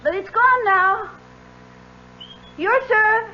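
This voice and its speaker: An actress playing a vivacious, sporty young wife in a 1970s radio drama. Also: female voice, very high-pitched, extremely slow, full character voice. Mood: dismissive. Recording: dull vintage radio with faint hum.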